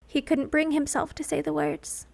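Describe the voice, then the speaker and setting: A woman narrates in a very high register. She reads scripted fan fiction as a storyteller, with a dramatic delivery. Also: little pitch movement, normal speed, creaky.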